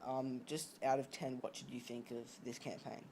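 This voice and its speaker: A man's voice: medium pitch, level tone, moderate pace, darkish tone. Man hosting a noisy gaming chat. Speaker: host of a gaming discussion. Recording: noisy home voice-chat recording.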